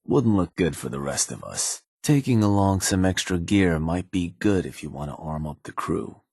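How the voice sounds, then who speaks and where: A shrewd raider gang advisor from a video game, with a very low voice and a rough, husky edge. He talks in a laconic, folksy way, coolly ruthless and dryly sardonic. The recording is close and dry, with no room around it. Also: lively intonation, normal speed, darkish tone, no room sound, heavily acted. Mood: wry, coolly ruthless. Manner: laconic, folksy, matter-of-fact menace. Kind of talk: video game character dialogue.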